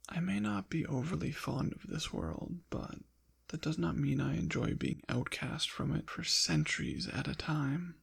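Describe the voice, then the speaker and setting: A man voicing a haughty, possessive ancient demon in an intimate ASMR roleplay, with formal, deliberate diction and a breathy, gravelly whisper. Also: fairly high pitch, very animated, a bit bright, heavily acted. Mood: indignant, brooding. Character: possessive ancient male demon, haughty, teasing, quietly softening. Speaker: audio roleplay performer.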